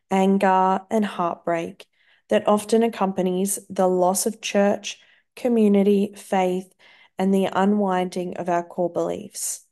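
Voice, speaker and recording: An Australian woman hosting a podcast, reading a gentle, earnest scripted welcome and then chatting casually with her guest. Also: medium pitch. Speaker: podcast host. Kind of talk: scripted intro then casual interview chat.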